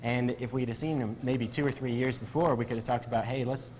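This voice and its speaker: Guy doctor on an interview, explaining stuff conversationally, average pitch. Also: fairly even intonation, fairly quick, darkish tone, poor audio.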